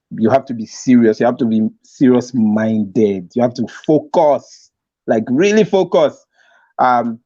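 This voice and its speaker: A man's voice: fairly low pitch, dark tone, no echo. A man with a Nigerian accent hosting a casual interview, playfully repeating words for emphasis, on muffled audio. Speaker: interviewer, host. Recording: dull, lo-fi audio.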